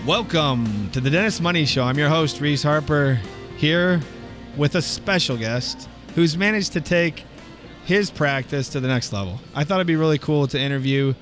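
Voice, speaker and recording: A man's voice: fairly high pitch, normal speed, a bit bright, faint music behind. A man hosting a podcast interview, talking casually and loosely, with frequent restarts. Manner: friendly, loose, many restarts. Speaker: podcast host.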